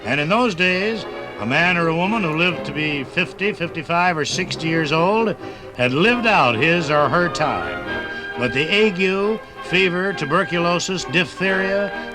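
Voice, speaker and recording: High-pitched, animated American male radio narrator. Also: poor audio. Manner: folksy, dramatic storytelling. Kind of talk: 1950s radio documentary narration. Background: music.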